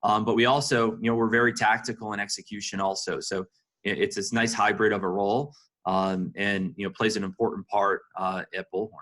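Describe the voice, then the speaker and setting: A man's voice: fairly low pitch, level tone, a little fast, fairly bright tone. A man answering interview questions conversationally as a senior executive, peppering his sentences with "you know".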